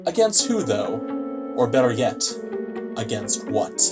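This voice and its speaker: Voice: medium pitch, very animated, unhurried, over loud music. A narrator telling a suspense tale in a radio play, in a theatrical, ominous storytelling style.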